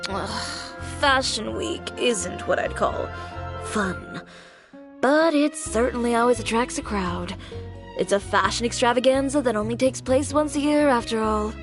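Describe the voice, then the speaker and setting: A woman's voice: fairly high pitch, unhurried. A woman voicing a confident, blunt fashion designer in a video game, dry, composed and matter-of-fact. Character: confident, blunt, businesslike female fashion designer and boutique owner. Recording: music playing behind the voice.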